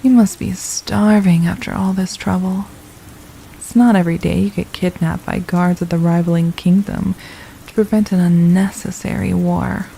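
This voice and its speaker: Villainess for ASMR roleplay, played by a woman: low, very mellow, possessive captor, softly menacing and mocking, faint rain behind. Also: steady tone, gravelly, slight background noise, rough recording. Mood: smug, threatening. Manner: menacing, mocking, silky and deliberate. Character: possessive, condescending female royal villain holding a captive. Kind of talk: ASMR roleplay, intimate scripted monologue.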